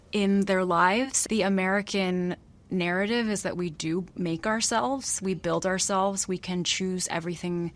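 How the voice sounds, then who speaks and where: A woman in her thirties, an author born abroad, answering interview questions thoughtfully with many pauses and restarts. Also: lowish voice. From Malaysia. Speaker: author guest.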